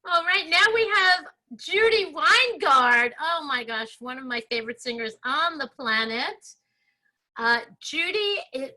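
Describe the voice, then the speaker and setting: Gushing woman host, bright voice. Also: high-pitched, animated, unhurried, rough recording. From Brooklyn, New York. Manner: effusive and gushing. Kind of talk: introducing performers.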